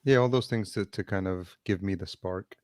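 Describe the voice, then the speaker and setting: A man, raised near New City and born in El Salvador, talking casually as a guest over a video call, measured and hesitant with many ums. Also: low-pitched, darkish tone, no room sound, clean studio sound. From El Salvador, raised in Yonkers, New York. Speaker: podcast producer, guest. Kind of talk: casual interview chat. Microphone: remote video call.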